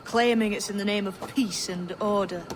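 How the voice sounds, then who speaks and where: A man voices a blunt assassin from a game, stern yet amiable. There is clear ambient noise around the voice. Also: sits high, fairly even intonation, moderate pace, natural voice. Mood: frank, earnest. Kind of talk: video game cutscene acting. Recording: clearly noisy outdoor ambience.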